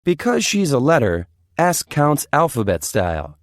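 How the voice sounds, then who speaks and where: A woman narrating a children's storybook in an expressive read-aloud style. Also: a bass voice, moderate pace, no room sound, clean studio sound.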